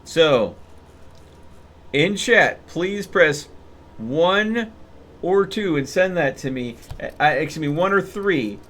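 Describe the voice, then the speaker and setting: A man's voice: fairly high pitch, animated, slow, pauses often, a bit bright. A man live-streaming a game, chatting casually with viewers and thinking aloud.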